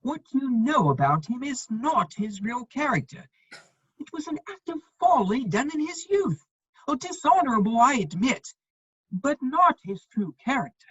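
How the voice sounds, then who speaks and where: Aloof society gentleman, female performer, husky and highly inflected, dry cutting wit, measured phrasing, for a period comedy reading. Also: sing-song, no room sound, highs cut off, heavily acted. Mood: witty, measured. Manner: dry, measured, epigrammatic delivery. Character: witty, aloof upper-class gentleman, principled and cutting.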